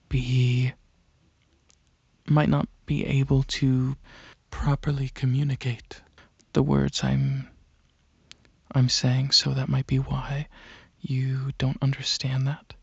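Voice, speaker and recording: A man performing soft-spoken ASMR roleplay as a calm alien dragon examiner, hesitant and clinical, with a breathy voice that slips into whispers. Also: medium pitch, dry recording, lo-fi sound. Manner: hesitant, pausing, clinical questioning.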